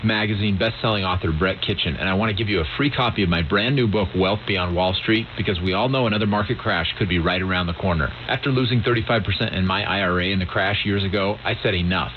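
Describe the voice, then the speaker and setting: A man giving a scripted radio advertising pitch, promoting his own book, over a muffled, noisy broadcast.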